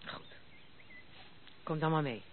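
An actress playing a blunt, proud, self-assured young noblewoman in a radio play. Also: low-pitched. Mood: brisk, self-assured. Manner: brisk, self-assured, outspoken. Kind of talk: Dutch radio play acting. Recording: muffled narrow-band old radio recording, noisy.

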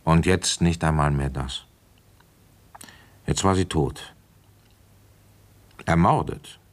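An actor playing a middle-aged village police inspector in a German radio play, measured and matter-of-fact. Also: male voice, rumbling low. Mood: sober, reflective. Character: seasoned male village police inspector telling his case.

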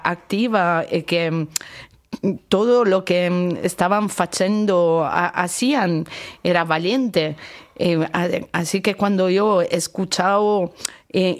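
A woman voicing an Italian researcher who mixes Italian and Spanish, with an Italian accent, halting and searching for her words in a comedy radio sketch. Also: mid-range voice, lightly acted.

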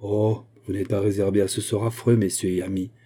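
An honourable young officer and gentleman, voiced by a male narrator. He speaks earnestly and courteously, with a lively delivery. The storybook voice has a dark tone and a husky grain. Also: lowish voice, brisk.

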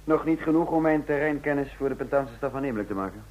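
An actor in a radio play playing a cool, wry and insolent secret agent with a self-possessed way of talking. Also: male voice, medium pitch, quick pace, highs cut off, lightly acted. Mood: defiant. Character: cool, insolent male secret agent posing as an industrialist's son. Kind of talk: radio play acting with first-person narration. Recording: clear hum and noise, old radio recording.

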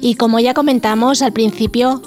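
A woman presents a radio programme in a scripted style. Her voice is fairly high, and music plays clearly behind her. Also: steady tone, normal speed.

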